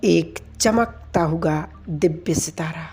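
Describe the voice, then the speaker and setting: Slow, low-pitched woman guiding meditation. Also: slightly rough. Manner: calm, unhurried, soft with long pauses. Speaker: meditation guide. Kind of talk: slow guided meditation commentary. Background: background music.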